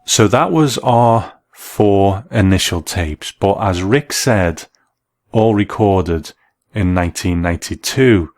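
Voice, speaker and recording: A man hosting a show, delivering measured, scripted commentary in the manner of an appreciative reviewer. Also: deep voice, expressive. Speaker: host. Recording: faint music under the voice. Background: soft background music.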